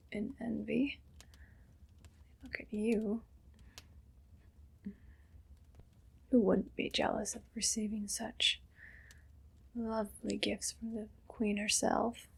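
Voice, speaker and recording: A woman playing a haughty, condescendingly kind evil queen in an ASMR roleplay, speaking in a breathy whisper with slow, deliberate, imperious delivery. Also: on the high side, heavily acted. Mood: condescending, pleased.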